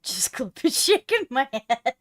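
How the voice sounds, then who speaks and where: A woman chatting casually and reacting on a live game stream, profane, teasing and expressive. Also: high-pitched, unhurried. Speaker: streamer co-playing a visual novel.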